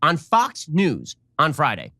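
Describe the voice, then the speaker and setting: A man delivering rapid, emphatic political commentary in an indignant tone. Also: a little high, wildly swooping pitch, pushed and bright.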